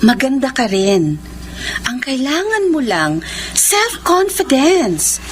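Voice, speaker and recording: A woman's voice: sits high, sing-song, slow pace, slight background noise, heavily acted. A woman acting a caring, confident young elder sister in a radio drama, reassuring and earnest. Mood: encouraging.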